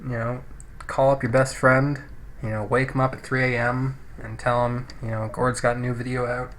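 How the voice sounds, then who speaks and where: A man giving casual, sweary video game commentary as a let's play host, thinking out loud, recorded on a poor-quality microphone. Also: mid-range voice, level tone, moderate pace. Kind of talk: casual gameplay commentary with read-out game text. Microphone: low-quality home microphone. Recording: noisy cheap microphone, poor audio.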